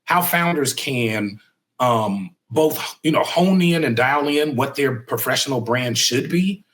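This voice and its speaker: A middle-aged man hosts a podcast interview in a relaxed, conversational way. His voice sits at an ordinary pitch for a man. He gets animated when he acts out what other people say, and his voice rises when he quotes them. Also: unhurried. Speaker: podcast host.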